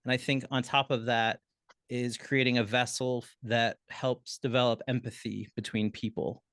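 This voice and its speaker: Man, panelist, gravelly fry, medium pitch, tentative, reflective, dry recording. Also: little pitch movement, normal speed, fairly bright tone, no room sound.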